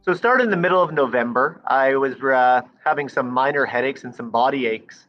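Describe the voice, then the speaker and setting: A man in his thirties speaks at a middle pitch, brisk and conversational. The recording sounds somewhat dull, with faint music behind. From Saskatchewan, Canada. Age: 30s. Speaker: French teacher. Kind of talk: interview recollection. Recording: slightly dull, muffled sound.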